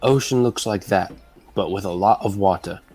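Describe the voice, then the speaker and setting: A man who narrates as game master in a loose, rambling, halting way. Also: low voice, a little mellow, soft background music.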